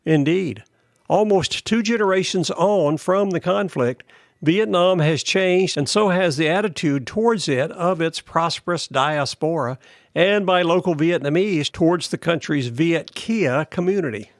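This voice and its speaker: Man reading news, fairly high voice. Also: unhurried, no room sound, clean studio sound. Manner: steady, plain read-aloud delivery. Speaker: podcast host. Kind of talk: reading a news article aloud.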